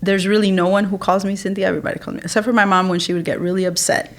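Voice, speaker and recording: A woman's voice: medium pitch, little pitch movement, very fast. An American woman from Chicago telling her story casually as a podcast guest, informal and repeating phrases for emphasis. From Chicago, United States. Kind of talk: casual conversational interview storytelling.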